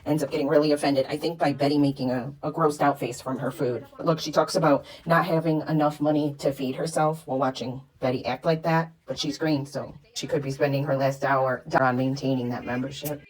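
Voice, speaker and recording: A woman's voice: a bass voice, fairly even intonation, fairly quick, few pauses, a little mellow, poor audio. A woman narrating a commentary video over clips, gossipy and wry.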